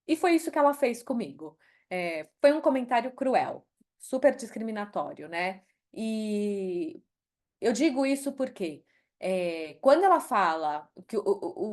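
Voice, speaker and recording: A middle-aged Brazilian woman with a Brazilian accent talks frankly in a podcast interview. Her pitch sits in an ordinary middle range. She reflects aloud, now and then breaking into English phrases. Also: expressive, unhurried. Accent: Brazilian Portuguese. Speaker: guest, HR executive.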